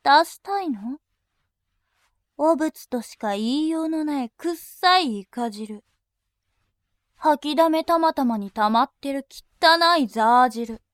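A woman voicing a composed female bank clerk who speaks in very formal, deliberate language and turns dominating and scornful. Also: sits high, dry recording, studio quality. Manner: very formal polite speech, slow and deliberate. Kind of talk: adult audio drama acting.

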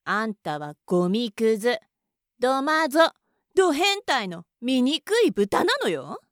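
A woman's voice: fairly high pitch, very animated, slow pace, dry recording, studio quality. A woman acting a contemptuous, domineering young woman in an adult audio roleplay, mocking and bossy. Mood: scornful.

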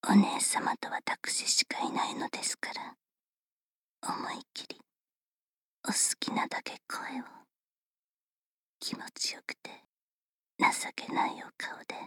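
A woman's voice: a bit bright, no room sound, studio quality. A woman playing a teasing whispering servant. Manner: formal, politely teasing, unhurried. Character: polite, teasing female servant attending a young lady. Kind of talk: whispered ASMR roleplay.

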